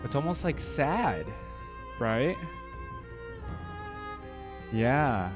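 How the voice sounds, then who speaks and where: A man's voice: medium pitch, big pitch swings, extremely slow. A man giving casual, enthusiastic reaction commentary as a video creator, in an informal, exclamatory way. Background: orchestral music from the track being reviewed.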